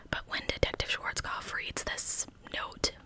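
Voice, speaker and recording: A woman telling true crime stories as ASMR in a breathy, unvoiced whisper with a conversational manner. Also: measured pace. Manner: conversational narration.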